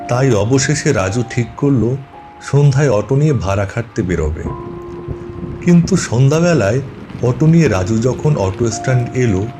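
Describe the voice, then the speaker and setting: Male ghost story narrator over music. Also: medium pitch.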